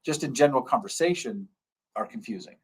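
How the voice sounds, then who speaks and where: A man's voice: mid-range voice, expressive, a little fast, breathy edge. Dentist man explaining things plainly. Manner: plain-spoken, repeats key terms to keep it simple. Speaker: dentist hosting a live stream. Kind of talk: casual explanatory chat.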